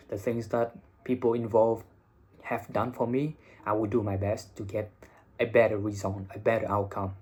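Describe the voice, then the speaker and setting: A man's voice: fairly low pitch, darkish tone. Blunt young man vlogging. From Vietnam. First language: Vietnamese. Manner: blunt, swears casually. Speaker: IELTS teacher and vlogger. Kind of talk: casual advice monologue.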